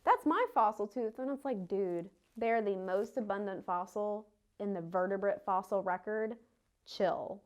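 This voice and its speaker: A woman's voice: mid-range voice, measured pace. A woman telling stories casually in an interview, colloquial and acting out what people said. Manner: colloquial, acts out quotes. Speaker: fossil hunter.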